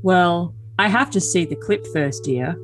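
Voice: mid-range voice, unhurried, soft background music, lightly acted. A performer playing a doting young boyfriend, earnest and stilted, in an overly dramatic reading of text messages. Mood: coy, doting.

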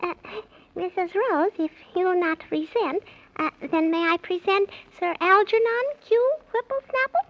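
A woman voicing a small, eager, excitable male squirrel with a squeaky voice in a rhyming 1930s children's radio play. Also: unhurried. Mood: polite, eager. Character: small eager cheerful male squirrel, good-natured, scatterbrained. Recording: muffled, faintly noisy 1930s radio recording.

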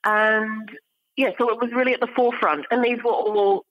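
A woman speaking as an academic guest in an interview, explaining things in a measured, scholarly manner. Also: a little high, fairly even intonation, no echo, muffled recording. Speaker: guest academic, archaeology professor.

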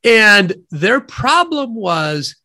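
Brief: Midwestern American man, nasal, high and bright-toned, measured explaining. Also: very animated. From Wisconsin, USA. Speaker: guest economist. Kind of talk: podcast interview, explaining ideas.

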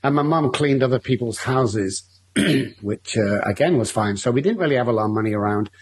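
A man's voice: mid-range voice, lively intonation, fairly quick, husky. A man with a Manchester accent, a guest telling his life story openly in a long, rambling interview answer. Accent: Mancunian English. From Manchester, England. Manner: candid, rambling storytelling with repeated restarts.

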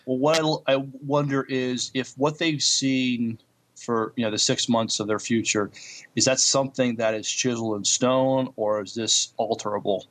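A man co-hosting a podcast in casual chat, speaking haltingly and often repeating words as he thinks. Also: medium pitch, fairly even intonation, a bit bright, poor audio. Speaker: podcast co-host. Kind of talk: casual review chat about TV shows.

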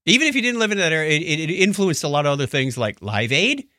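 A man hosting a podcast in casual chat, telling stories in an animated way and acting out what others said. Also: fairly high pitch, fast, dry recording, studio quality. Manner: animated storytelling, acts out quotes. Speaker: podcast host.

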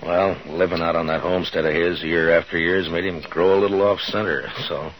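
A low, deep frontier marshal for a 1950s radio Western. He is calm, dry and fair-minded. The old recording carries faint noise. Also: a little fast, poor audio. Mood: easygoing, reflective.

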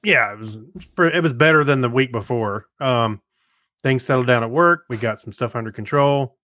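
A man's voice: medium pitch, normal speed, pushed and bright, no room sound, clean studio sound. A man co-hosting a podcast in an easygoing, casual conversational way. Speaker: podcast co-host. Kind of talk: casual chat.

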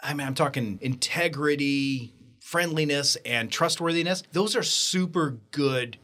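A man hosting a financial radio show, talking in an energetic, emphatic way. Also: on the high side, unhurried. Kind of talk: upbeat financial radio talk.

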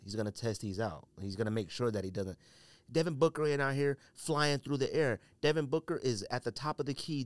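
A man's voice: mid-range voice, no room sound. An American man hosting a solo podcast, giving opinionated commentary in slangy, colloquial speech and repeating phrases for emphasis. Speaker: podcast host.

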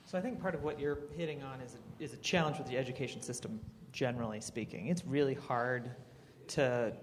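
A panelist from Texas who speaks bluntly and casually, with lively swings in tone. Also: mid-range voice, fairly quick, long reverb tail, background noise. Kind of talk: panel discussion.

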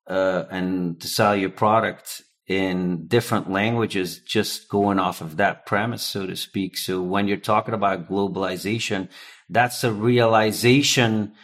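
A man raised in Belgium whose first language is Dutch talks through his answers at an unhurried, deliberate pace. His voice sits on the low side, and he pauses often with fillers and restarts as he works out each thought. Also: a bit bright. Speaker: localization executive, guest. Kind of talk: conversational interview answers.

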